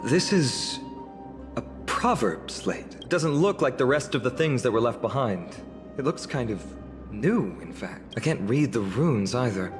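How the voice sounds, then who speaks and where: A man playing an earnest, genial young warrior guide in a video game, gentle and thoughtful. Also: mid-range voice, animated, unhurried, close to an everyday voice. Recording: game music underneath. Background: game music.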